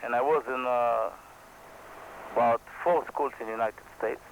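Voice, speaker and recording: A man from Israel with an Israeli accent, whose first language is Hebrew, talking casually to the camera as a helicopter pilot and instructor. He speaks halting non-native English with many restarts, earnest and self-deprecating. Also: mid-range voice, steady tone, vocal fry, slight background noise, poor audio.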